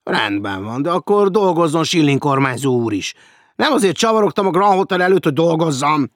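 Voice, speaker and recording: Man voicing a loud, indignant idler. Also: a little high, dry recording, studio quality, voice pushed up, lightly acted. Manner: loud and protesting. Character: indignant lazy man refusing work.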